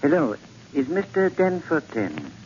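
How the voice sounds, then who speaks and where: An actor playing an apologetic, fussy sanitarium doctor, polite and hesitant, in 1940s radio style. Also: male voice, on the high side, wildly swooping pitch, a little slow. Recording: muffled, hissy 1940s radio recording.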